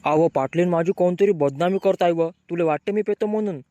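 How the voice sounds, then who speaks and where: A man's voice: high-pitched, a little fast, warm tone, dry recording, lightly acted. A man voicing a brash, grumbling young village man with a rural Marathi accent, bickering in slang in a comic cartoon. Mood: grumbling banter.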